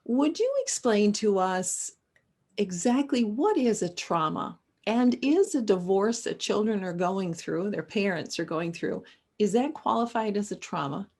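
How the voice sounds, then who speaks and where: A woman hosting an interview, asking polite, measured questions. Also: mid-range voice, lively intonation, darkish tone, slightly rough. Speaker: host.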